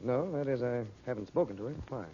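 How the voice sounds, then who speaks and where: Earnest American adventurer uncle played by a man, fast-talking, urgent, vintage radio drama with muffled, slightly hissy sound. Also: medium pitch, animated, dark tone, natural voice. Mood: troubled. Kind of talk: 1930s-40s radio acting.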